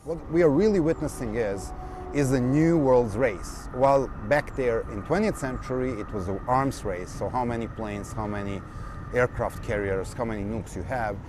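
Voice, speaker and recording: A man, an activist trainer, speaking in an animated, lecturing way. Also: medium pitch, normal speed, noisy background. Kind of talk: speech and interview.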